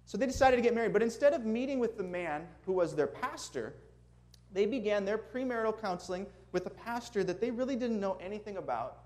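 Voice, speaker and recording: Minnesotan-accented male guest preacher, warm-hearted sermon and storytelling, slightly higher register. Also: animated, brisk. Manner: earnest, friendly, chuckling asides. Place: church sanctuary before a congregation.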